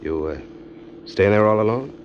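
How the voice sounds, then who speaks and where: A tough ex-con drifter with street slang and a hardboiled manner, voiced by a man. The voice sits very low but swings widely in pitch. It comes through as a dull old radio recording with music behind it. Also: animated, moderate pace, slight background noise, close to an everyday voice. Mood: flirting. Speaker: first-person narrator and drifter character. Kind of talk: 1940s radio drama acting.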